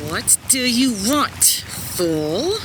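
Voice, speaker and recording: A man's voice: very high-pitched, sing-song, exaggerated voice. Man acting a haughty sea lord amid watery noise. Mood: contemptuous. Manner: disdainful, grudging, deliberate. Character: haughty, irritable shark-faced sea lord, muscular renegade. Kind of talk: fantasy audio drama acting.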